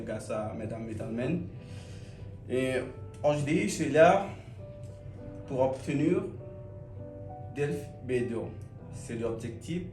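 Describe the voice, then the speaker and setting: A man from Bangladesh, a French student, telling his story slowly and haltingly. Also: medium pitch, roomy sound, recorded from a distance. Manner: slow, hesitant learner French. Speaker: language student.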